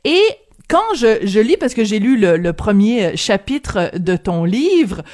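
A woman's voice: mid-range voice, very animated, takes it slowly, a little mellow. A woman with a Quebec French accent interviewing a guest, enthusiastic and affirming. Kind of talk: friendly interview.

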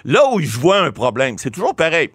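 Québécois guy on a talk show breaking down the law, voice swings up and down a lot, kinda high, dry close mic. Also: fairly quick, slightly rough, dry recording. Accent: Québécois French. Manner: animated, many restarts and repeated words. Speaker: lawyer commentator. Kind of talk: explanatory talk show commentary.

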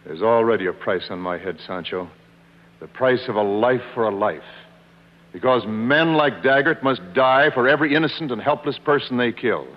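A man's voice: mid-range voice, moderate pace, lightly acted. Man acting a grim avenger in muffled old radio. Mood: grim resolve. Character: vengeful masked vigilante hero, grim and resolute young man. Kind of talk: 1950s radio drama acting. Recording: muffled, reverberant old 1950s radio recording.

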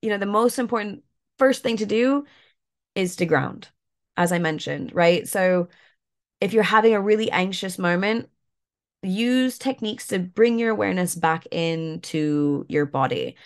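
A woman guest, a yoga and mindfulness coach, talking in a relaxed way with lots of fillers while stuffed up and a bit croaky. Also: medium pitch, measured pace. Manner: relaxed, many fillers like "like" and "you know". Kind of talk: casual interview talk, guiding an exercise.

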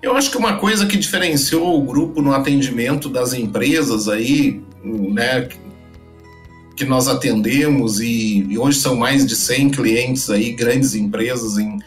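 A man's voice: mid-range voice, measured pace, soft background music. A man, a podcast guest who explains his data business at length with frequent restarts and fillers. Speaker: guest, data company founder. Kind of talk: podcast interview answers.

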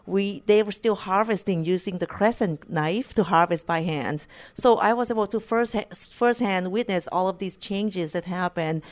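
A woman's voice: medium pitch, normal speed, few pauses. A woman from Thailand with a Thai accent, answering interview questions as an economist, earnest and restarting her words often. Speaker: corporate economist, guest.

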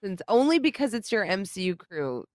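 A woman's voice: medium pitch, sing-song, normal speed. A young woman co-hosting a podcast over a video call, teasing and swearing freely as she laughs along. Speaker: podcast co-host.

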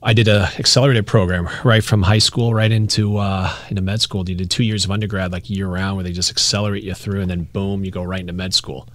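A man's voice: sits low, level tone, quick pace, few pauses, dry recording. A man who is a doctor explaining men's health topics on a podcast in a laid-back way, laughing easily. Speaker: doctor, medical clinic founder. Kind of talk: casual interview chat.

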